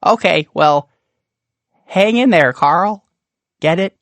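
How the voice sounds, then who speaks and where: A man's voice: high-pitched, animated, slow, a bit bright, no room sound, voice pushed up, exaggerated voice. Man putting on a goofy, eager little storybook monster. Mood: eager, joking. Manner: eager, awkward, joking. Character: lonely, goofy, hopeful little green monster.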